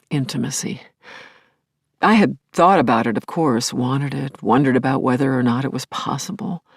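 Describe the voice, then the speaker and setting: Grieving middle-aged widow for intimate audio drama: gravelly low edge, unhurried, halting and emotionally charged. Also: female voice, a little mellow, no echo, studio quality, heavily acted. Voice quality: husky, breathy, often dropping to a whisper. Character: grieving middle-aged widow recalling her returned dead husband, tender, yearning. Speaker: lead actor in an audio play. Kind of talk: intimate first-person audio drama monologue.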